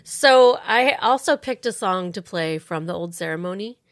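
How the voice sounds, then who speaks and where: A woman hosting a casual interview, chatty and quick to laugh. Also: on the low side, expressive. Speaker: podcast host.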